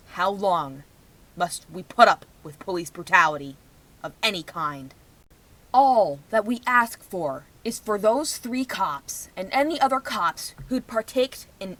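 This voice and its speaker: Young woman, bright forward voice, medium pitch, emphatic, outspoken, personal vlog commentary. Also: poor audio. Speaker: vlogger.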